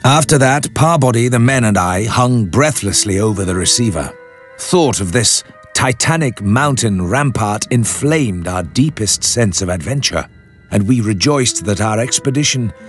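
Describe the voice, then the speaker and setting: An actor playing a grave expedition leader, narrating a literary tale for radio in a measured, serious manner. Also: male voice, on the low side, expressive, close to an everyday voice. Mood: stirred, earnest. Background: background music.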